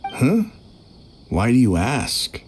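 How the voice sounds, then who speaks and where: An actor playing a casual, self-justifying clerk in a video game. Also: male voice, medium pitch, huge pitch swings, slow pace, a little mellow, slight background noise. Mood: puzzled, informative. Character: defensive, talkative male goods clerk, casually shrugging off blame. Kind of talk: scripted video game dialogue.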